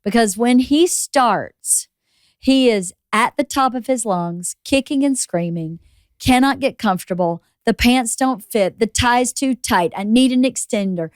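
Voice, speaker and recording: Female podcast host with a loud, opinionated delivery and a dry, close sound. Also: fairly high pitch, measured pace, smooth, no room sound. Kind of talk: casual interview chat.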